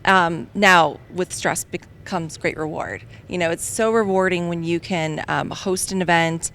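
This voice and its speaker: A woman's voice: on the low side, steady tone, measured pace, a bit bright. A woman guest in an interview, talking in a conversational way with many you-knows and ums. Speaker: guest, field marketing manager. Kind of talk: interview answers. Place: trade show venue.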